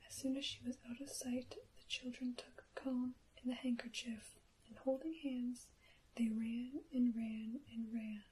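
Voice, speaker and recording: A woman reading a bedtime story for ASMR in a soft, breathy, careful whisper. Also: on the high side, level tone, unhurried, slight background noise, low-quality recording.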